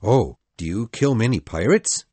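A woman's voice: a bass voice, expressive, normal speed, mellow, slightly rough, no room sound, pitched below the performer's own voice, full character voice. A woman reading aloud, voicing an earnest young boy who asks serious, respectful questions.

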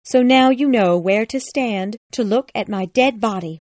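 A woman acts out an imperious, death-obsessed old lady from a classic storybook. Her voice sits fairly high and speaks in sharp, cruel commands. The sound is very dry, with no room around it. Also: unhurried, no echo. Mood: sharp, bitter. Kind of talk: acted audiobook dialogue.

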